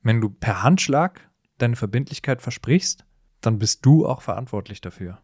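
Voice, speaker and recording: A man co-hosting a casual, humorous podcast conversation, explaining things in an animated way and often chuckling as he talks. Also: mid-range voice, moderate pace, slightly rough, no echo, studio quality. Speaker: podcast co-host.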